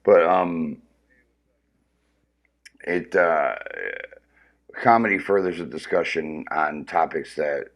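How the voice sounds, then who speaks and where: A man with a very deep, forceful, powerful voice rambles through a profane comedic rant. He keeps restarting his sentences as he talks on a casual livestream.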